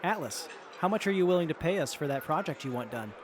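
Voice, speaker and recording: An actor playing a polite, excitable and easily swayed young man in an audio drama, eager and deferential. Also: fairly high pitch, quick pace, soft background music, lightly acted. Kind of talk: post-apocalyptic audio drama acting.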